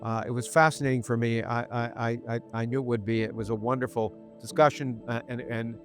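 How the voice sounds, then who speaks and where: Halting man hosting a podcast. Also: mid-range voice, fairly even intonation, soft background music. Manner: halting, many restarts and repeated words. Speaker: podcast host. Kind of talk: interview podcast, intro then conversation. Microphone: remote interview setup.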